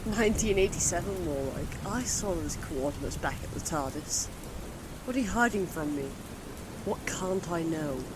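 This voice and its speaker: A woman's voice: on the low side, expressive, moderate pace, close to an everyday voice. A woman playing a hot-tempered, stubborn young woman companion in an audio drama, with a blunt, challenging manner. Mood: accusing. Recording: loud rain and storm noise.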